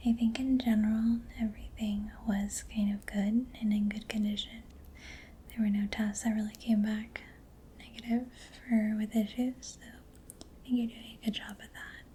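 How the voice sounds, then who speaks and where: A woman doing a soft-spoken ASMR roleplay as a mechanic, in a breathy whisper with a gentle, hesitant, reassuring manner. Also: mid-range voice, level tone, normal speed, slight background noise.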